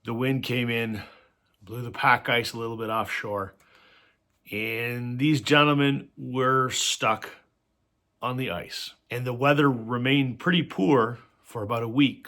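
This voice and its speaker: A man hosting a vinyl record channel, telling stories conversationally with plenty of fillers, a little hoarse from a cold. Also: medium pitch, bright, forceful tone. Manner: conversational storytelling with frequent fillers. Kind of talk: casual talk to camera.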